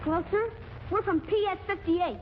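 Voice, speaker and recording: Naive schoolchild, piercingly high voice, earnest and innocent, old radio comedy, muffled vintage sound. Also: moderate pace, heavy background noise. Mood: curious, innocent. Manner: innocent, literal-minded. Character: earnest, naive young schoolchild petitioning the government. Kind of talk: 1940s radio comedy acting. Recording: muffled 1940s radio broadcast.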